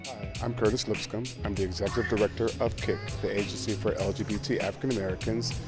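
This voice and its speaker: A man with a low voice thanks his hosts in an upbeat, appreciative testimonial. Music plays clearly behind him. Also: fairly even intonation, quick pace. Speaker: nonprofit executive director. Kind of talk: short testimonial interview.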